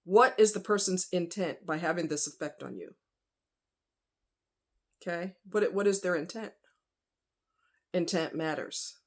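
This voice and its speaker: A woman with a slightly low voice talks casually, as if to regular viewers of her vlog. Her way of speaking is folksy and conversational, and she puts emphasis on her points. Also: measured pace, pauses often. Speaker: vlogger.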